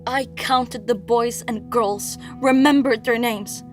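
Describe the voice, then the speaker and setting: A woman's voice: on the high side, steady tone, slow pace, faint music behind. A woman playing an anguished, haunted young princess of Greek myth in a theatrical audio play. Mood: haunted, brooding.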